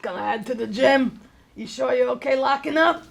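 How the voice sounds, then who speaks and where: A woman's voice: high-pitched, moderate pace, gravelly. A woman playing an awkward, kindhearted divorced bar owner in his fifties, hesitant and stammering, in a live comedy table read. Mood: earnest, self-pitying. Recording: live stage reading before an audience.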